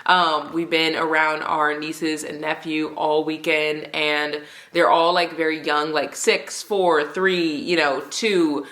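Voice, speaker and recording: A woman's voice: low voice, little pitch movement, takes it slowly, fairly bright tone. A woman with a stuffy, congested nose, chatting casually and enthusiastically as she reviews books she has read. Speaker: book vlogger. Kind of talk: casual book review chat. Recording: roomy echo.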